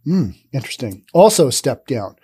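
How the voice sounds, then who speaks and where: A man in his thirties hosting a podcast, giving casual, sarcastic commentary on the news into a studio boom mic. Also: sing-song, normal speed, warm tone, breathy edge. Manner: sarcastic, acts out imagined dialogue. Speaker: podcast host. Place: dim studio with brick wall and neon sign.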